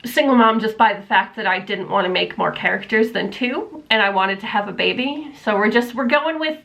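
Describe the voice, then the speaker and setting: A woman's voice: mid-range voice, brisk, vocal fry, fairly bright tone, low-quality recording. A young woman vlogger chatting casually from a room at home, rambling with lots of fillers, sounding stuffy and nasal from allergies. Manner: rambling, many fillers, self-deprecating. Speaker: book vlogger. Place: room at home, not yet set up for filming. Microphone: camera mic, camera propped on books.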